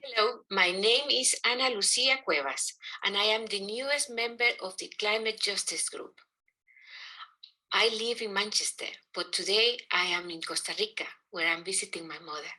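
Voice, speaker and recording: Guatemalan woman, middle-aged, bright clear-cut tone, reading a formal scripted presentation, measured. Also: medium pitch, poor audio. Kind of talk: scripted narration.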